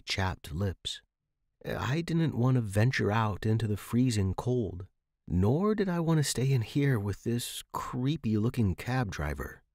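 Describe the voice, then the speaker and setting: A man's voice: deep voice, a little mellow, no echo, studio quality. A man reading a story aloud as a scripted, dramatic fiction narration. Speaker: audiobook narrator.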